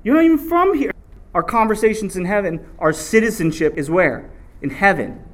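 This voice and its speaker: A man's voice: high-pitched, moderate pace. A man preaching a sermon, reading scripture aloud and drawing answers back from the congregation. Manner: animated call-and-response preaching.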